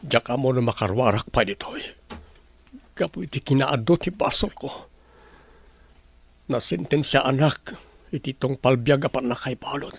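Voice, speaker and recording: Lalaking gumaganap na nagsisising bilanggo, garalgal. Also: male voice, medium pitch, normal speed, vocal fry, pauses often, slightly rough, no room sound. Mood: remorseful. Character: remorseful male prisoner, repentant. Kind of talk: radio drama acting.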